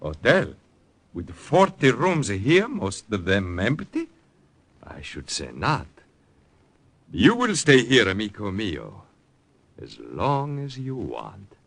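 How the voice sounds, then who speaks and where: A genial older Italian nobleman with a thick Italian accent, warmly hospitable and rambling. His pitch rises and falls freely, and he pauses on fillers. It is a 1940s radio drama. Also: wildly swooping pitch, gravelly, dry recording, heavily acted. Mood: jovial. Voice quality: expansive, hesitant with fillers, drops into Italian. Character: jovial older Italian count, hospitable host.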